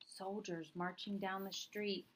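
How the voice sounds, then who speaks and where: A woman reading a picture book aloud as a storyteller, with expressive, deliberate phrasing. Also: medium pitch. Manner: dramatic, deliberate phrasing with acted dialogue. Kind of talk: expressive children's read-aloud narration.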